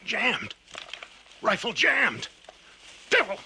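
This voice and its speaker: An actor playing a frightened, exhausted lion hunter in a 1980 radio drama monologue, muttering to himself in halting, repeated fragments. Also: male voice, sits high, wildly swooping pitch, bright, forceful tone, full character voice. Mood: panicked, defiant. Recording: noisy old radio broadcast recording.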